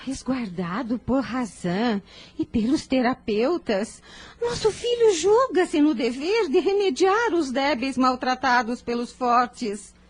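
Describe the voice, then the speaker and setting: A woman's voice: high voice, animated, slow, slightly rough, heavily acted. A woman playing a protective, aggrieved mother in a religious radio drama, with formal, emotional speech. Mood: concerned.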